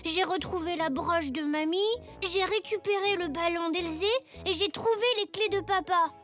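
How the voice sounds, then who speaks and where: A performer voicing an eager little boy zebra playing superhero in a children's cartoon, earnest and expressive. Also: extremely high pitch, moderate pace, soft background music. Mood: disappointed. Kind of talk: French children's cartoon dubbing.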